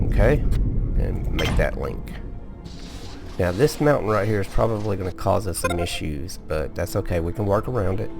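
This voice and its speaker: A man hosting a casual gameplay video, sleepy and relaxed, explaining each step as he goes with small restarts. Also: sits low. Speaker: gaming video host. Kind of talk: casual let's-play commentary.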